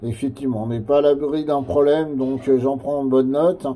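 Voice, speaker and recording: A man chatting casually as an amateur radio operator, in a hesitant, halting way that often repeats phrases. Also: medium pitch, steady tone, warm tone.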